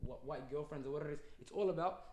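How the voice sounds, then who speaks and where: Young Australian man, earnest, persuasive, slightly high voice, fast. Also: darkish tone, slight background noise. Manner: earnest, persuasive, builds arguments. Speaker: podcast host. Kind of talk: casual chat.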